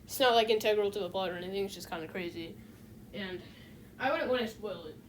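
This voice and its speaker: A woman giving casual, unscripted video essay commentary in a halting, rambling way, often restarting her phrases. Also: medium pitch, slight background noise, low-quality recording.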